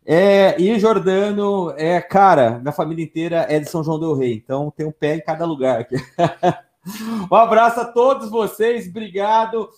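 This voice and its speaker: A man from Brazil hosting a live stream in an upbeat, lively way, running a prize draw. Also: sits high, normal speed. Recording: echoey room.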